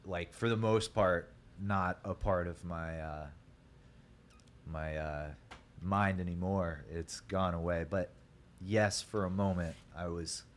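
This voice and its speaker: A young man from Ohio with a deep voice, a podcast guest telling his story in a rambling, laid-back way full of fillers. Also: fairly even intonation, slow, a little hiss or hum. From Columbus, Ohio. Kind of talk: casual podcast chat.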